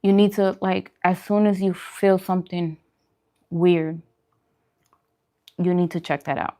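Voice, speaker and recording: A woman's voice: lowish voice, steady tone, unhurried, dark tone. A woman hosting a food vlog, chatting casually to viewers in colloquial slang and repeating phrases for emphasis. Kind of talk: casual chat to viewers during a mukbang livestream.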